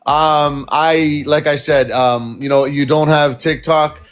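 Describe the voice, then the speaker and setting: A man's voice: fairly high pitch, fairly even intonation, takes it slowly, fairly bright tone, smooth. A man hosting a live stream, interviewing a caller in a casual, rambling way with many fillers and restarts.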